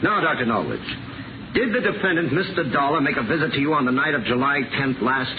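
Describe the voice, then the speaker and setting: An actor in a 1950s radio drama playing a frank, insistent defense lawyer with crisp, earnest delivery. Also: male voice, fairly high pitch, lively intonation, quick pace, close to an everyday voice. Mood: brisk questioning. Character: brisk male defense lawyer, frank, forceful. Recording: noisy, tinny 1950 radio recording.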